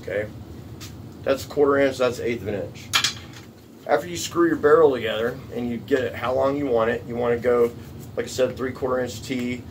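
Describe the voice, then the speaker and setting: Folksy man, distant noisy workshop recording. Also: mid-range voice. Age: middle-aged. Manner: folksy, plainspoken, repeats points for emphasis. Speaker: DIY tool-building presenter. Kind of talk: hands-on workshop tutorial, casual. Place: small cluttered indoor workshop. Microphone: camera a few steps away. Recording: distant camera mic, noisy workshop hum.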